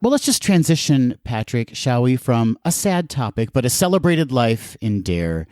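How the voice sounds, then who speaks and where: A man's voice: medium pitch, big pitch swings, moderate pace, darkish tone, no room sound. Stuffy nasal guy cohosting a podcast, joking around, voice bounces all over, dry close mic. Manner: playful, jokey. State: congested with allergies, nasal. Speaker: podcast co-host. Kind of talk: casual chat.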